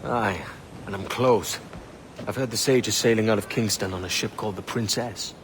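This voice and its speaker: An actor as a brash young pirate captain, noisy surroundings. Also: male voice, on the low side, normal speed, close to an everyday voice. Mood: cocky, defiant. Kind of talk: scripted video game dialogue.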